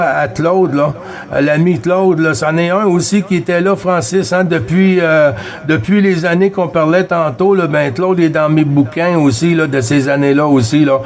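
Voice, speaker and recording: A man with a Québécois accent speaks in a fairly high voice. He chats as a CB operator, politely and haltingly, often repeating himself as he goes. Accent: Québécois French. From Quebec, Canada. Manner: hesitant, courteous, repeats himself. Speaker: amateur CB radio operator. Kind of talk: casual radio contact chat.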